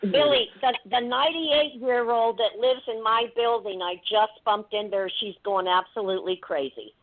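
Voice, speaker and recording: A woman's voice: fairly high pitch, moderate pace. A talkative woman calling in to a radio show, chatting casually and emphatically over the telephone. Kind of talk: casual chat. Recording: tinny telephone line.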